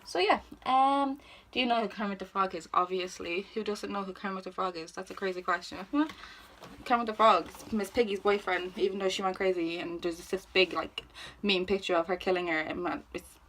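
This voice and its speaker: A woman's voice: medium pitch, a little fast, fairly bright tone, slight background noise, low-quality recording. A woman aged twenty-one with an Irish accent, chatting casually and informally as she answers questions for a vlog. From Ireland. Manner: chatty, informal, self-deprecating, exclamatory. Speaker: vlogger. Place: indoors at home, sitting on the floor.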